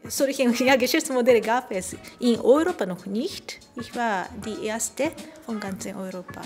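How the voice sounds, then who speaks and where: A middle-aged woman from Japan with a Japanese accent, answering interview questions in halting phrases. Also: a little high, darkish tone, soft background music. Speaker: café owner. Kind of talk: on-camera interview. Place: indoor café interview. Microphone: handheld interview microphone.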